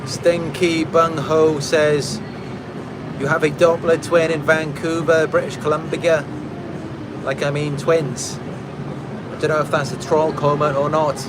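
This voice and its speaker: A man's voice: fairly high pitch, little pitch movement, unhurried, noisy background. A Welsh man from Wales, a channel host, reading out viewer comments and replying in a reflective, casual way with fillers and restarts. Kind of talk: casual talk to camera.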